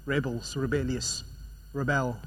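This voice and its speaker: A man preaching a sermon, swinging between loud emphatic passages and quiet, low ones. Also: level tone, a little slow, slight background noise.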